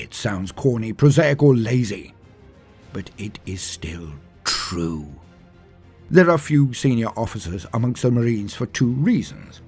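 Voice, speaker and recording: Guy doing epic lore narration, big swoops up and down, bit husky, faint music under it. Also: mid-range voice, a little mellow. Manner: grandiose, emphatic, with deliberate dramatic pauses. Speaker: narrator of a fan lore channel. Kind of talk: dramatic scripted narration, oratory-like monologue.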